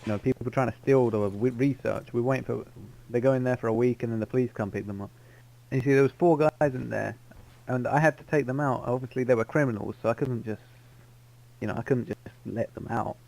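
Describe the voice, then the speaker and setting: A man's voice: mid-range voice, fast talker, gravelly, a little mellow, poor audio. Rambling roleplayer, a man who spins a tall tale and keeps fixing his own details. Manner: rambling, self-correcting storyteller. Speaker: roleplay player. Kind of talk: in-character roleplay storytelling. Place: online game voice chat.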